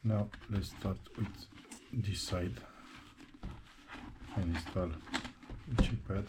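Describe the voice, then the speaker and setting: A man narrating a hands-on how-to tutorial step by step, speaking haltingly in short broken phrases. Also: low-pitched, noisy background. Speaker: presenter of a how-to installation tutorial.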